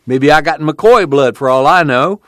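A man voicing a cantankerous, boastful old grandfather who talks in loud, folksy dialect. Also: fairly high pitch, normal speed, dry recording, clean studio sound, voice pushed up, natural voice.

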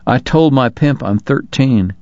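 A man's voice: mid-range voice, unhurried, darkish tone, no room sound, close to an everyday voice. A male narrator acts out a worn-out young woman of the frontier for a Western novel. The girl speaks plainly and wearily. The recording is dry and a little dull. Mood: weary, bitter. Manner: weary, plain-spoken. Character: tired, jaded teenage frontier prostitute girl confessing.

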